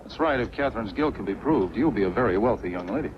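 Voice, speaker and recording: Slick, calculating noir blackmailer, male actor, quick and animated, vintage muffled film sound with faint noise. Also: on the low side, natural voice. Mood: flirtatious. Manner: smooth, insinuating. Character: smooth scheming male blackmailer, suave and calculating. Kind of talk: 1940s film noir acting. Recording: dull, faintly noisy 1940s film soundtrack.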